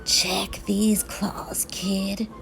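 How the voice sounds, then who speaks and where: A woman voicing Cynthia, a tough, snappy female monster in a children's storybook, with a raspy, whispery voice and curt manner. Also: mid-range voice, level tone, drawn-out words, a bit bright, exaggerated voice. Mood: menacing. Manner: curt, challenging.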